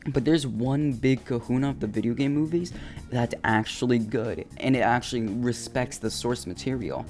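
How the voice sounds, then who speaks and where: A man's voice: medium pitch, moderate pace, a little mellow. A man delivering casual, scripted comedic commentary for a video essay, with a flippant, slangy, jokey manner. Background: upbeat video game music.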